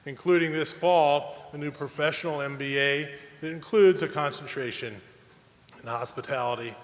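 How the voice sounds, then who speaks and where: A man's voice: fairly high pitch, normal speed. A man representing a college, giving earnest prepared testimony at a public hearing with small restarts. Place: large public hearing hall. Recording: long hall reverb, faint ambience.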